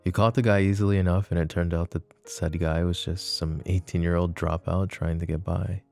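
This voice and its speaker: Man voicing a possessive mafia boss, flirty, soft teasing drawl, very deep, gravelly, dark mellow tone. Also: level tone, a little fast, soft background music. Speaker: voice actor. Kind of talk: ASMR roleplay audio drama.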